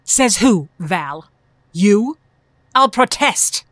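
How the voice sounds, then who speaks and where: A commanding woman schemer in an audio drama performed by an actress, with a mid-range voice. She is sharp-tongued and coldly calculating, a shapeshifter in official disguise. The sound is close and dry. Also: medium pitch, animated, very slow, no echo. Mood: angry, defiant. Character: commanding, defiant shapeshifting mutant schemer posing as government doctor.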